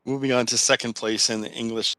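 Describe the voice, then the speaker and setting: A man presenting a poetry awards event, talking hesitantly and often pausing with ums. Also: mid-range voice, level tone, pushed and bright, low-quality recording.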